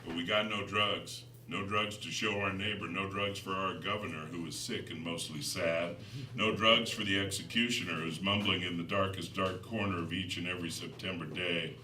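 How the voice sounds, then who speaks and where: Man, American accent, deep voice, measured dramatic poetry reading, roomy distant recording. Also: steady tone, sharp tone. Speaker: poet reading own work. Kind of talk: live poetry reading with spoken introductions. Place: live reading in a room before an audience. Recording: roomy, distant mic, faint noise.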